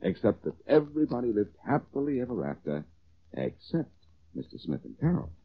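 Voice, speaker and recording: An actor playing a cocky, quick-witted amateur detective with wry self-assurance in a 1940s radio drama. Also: male voice, medium pitch, big pitch swings, creaky, breathy edge, exaggerated voice. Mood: playful, teasing storytelling. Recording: dull old radio recording.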